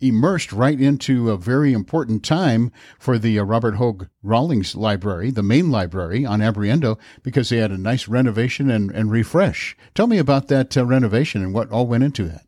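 A man's voice: medium pitch, animated, fairly quick, hardly any pauses, darkish tone, no room sound, studio quality. A man hosting a local podcast, asking questions in an easygoing way with many fillers. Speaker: podcast host. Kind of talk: podcast interview and read ad.

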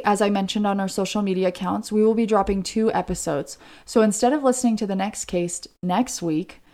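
A woman's voice: medium pitch, warm tone. An American woman co-hosting a podcast, telling a true-crime case in a conversational way. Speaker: podcast co-host.

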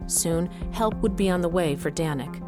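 A determined mother played by a woman tells her own story in a fairly low voice. Her audio drama narration is measured, with dramatic emphasis, and loud music runs underneath. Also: natural voice. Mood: tense, determined. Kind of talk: scripted first-person audio drama narration.

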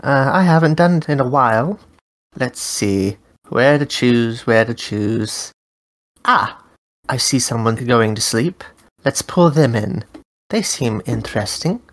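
A woman's voice: a bass voice, lively intonation, exaggerated voice. A woman playing a curious dream host. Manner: friendly, inquisitive, measured. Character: Rue, a dream-summoning interviewer. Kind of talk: tabletop character interview.

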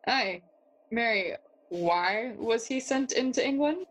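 An actress playing young Hamlet, a witty, brooding and curious noble, in a theatrical bilingual Spanish-English Shakespeare audio play. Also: female voice, on the high side, lively intonation, slow, fairly bright tone, exaggerated voice, poor audio. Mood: inquisitive. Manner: musing, questioning, theatrical.

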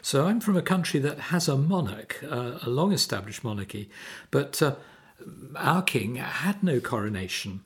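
A middle-aged man from Sweden talks through church history in measured, thoughtful sentences, pausing now and then to find his words. His voice sits at an ordinary pitch for a man. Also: lively intonation. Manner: measured, hesitant with fillers. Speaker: podcast co-host, vicar. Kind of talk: conversational theological discussion.

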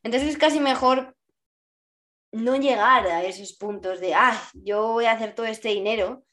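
A woman's voice: fairly high pitch, moderate pace, fairly bright tone. Chatty Spanish podcast host woman. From Spain. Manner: laughs often, mixes in English words. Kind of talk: casual podcast interview.

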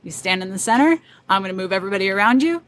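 Female guest, middle-pitched, upbeat and confident, quick laughs, light noise behind. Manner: animated, laughs often, loud confident delivery. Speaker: wedding photographer guest. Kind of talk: casual conversational interview.

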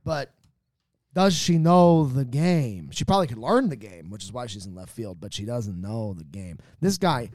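A man's voice: mid-range voice, animated, normal speed, darkish tone, no room sound, studio quality. A man co-hosting a podcast in casual chat, with an opinionated, teasing manner. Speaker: podcast co-host.

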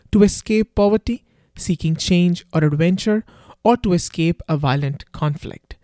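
A man's voice: sits high, mellow, no room sound, studio quality. A young man with an Indian accent, hosting a podcast and reading a scripted intro in a wry, conversational way. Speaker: podcast host.

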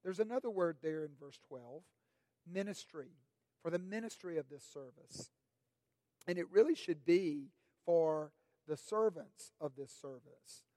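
A middle-aged man preaching a sermon as pastor, his voice raspy this morning, speaking in a measured, deliberate way and lifting his voice on questions. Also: on the high side, dark tone, dry recording.

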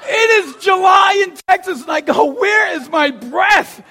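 A man with a Boston accent preaching a sermon, telling stories with gasps and acted-out lines and shouting for emphasis. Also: very high voice, unhurried, some chatter behind. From Boston, USA. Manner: animated storyteller, acts out gasps and quotes, shouts for emphasis. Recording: long hall reverb.

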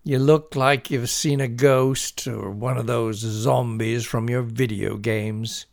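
A calm middle-aged dad in an audiobook story, voiced by a male narrator, with a gravelly edge. He drawls in a measured, reassuring and slightly sceptical way. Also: mid-range voice. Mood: calm, teasing.

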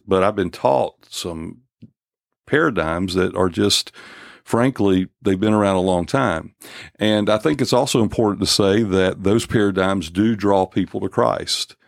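A man in his fifties hosting a church podcast, talking earnestly and unhurriedly and joking gently with his guests. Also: low voice, vocal fry, no echo, clean studio sound. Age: 50s. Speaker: podcast host. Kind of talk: casual church podcast talk.

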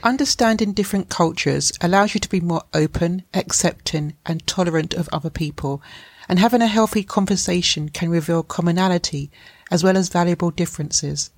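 Host: polite, formal woman interviewer, lower voice, dry close sound. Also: a little fast, no echo. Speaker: podcast host. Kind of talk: scripted introduction and interview questions.

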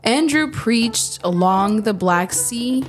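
A woman's voice: fairly high pitch, lively intonation, slow pace, faint music behind. A woman narrating a scripted religious story in a storytelling style.

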